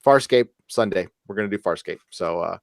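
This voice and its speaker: A man's voice: low-pitched, brisk, gravelly, no room sound, clean studio sound. A man hosting a live podcast in casual chat, rambling and joking, with some swearing. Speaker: podcast host.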